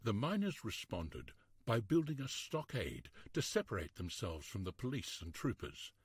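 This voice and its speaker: A man reading a history storybook aloud in a measured, expressive, scripted narration style. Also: on the low side, breathy edge, no echo, clean studio sound.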